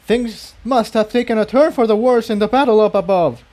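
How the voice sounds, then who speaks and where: A man's voice: high voice, a little fast, dry recording, voice pushed up, natural voice. A man voicing a level-headed male unicorn who reasons evenly as a peacemaker in a storybook reading. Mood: urgent. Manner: even, reasoning.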